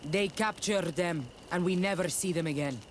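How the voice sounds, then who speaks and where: A man's voice: sits high, normal speed, slight background noise, close to an everyday voice. A man playing a proud, stern rebel warrior leader in a video game, speaking bluntly and solemnly. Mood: defiant, grave. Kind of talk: scripted video game cutscene dialogue.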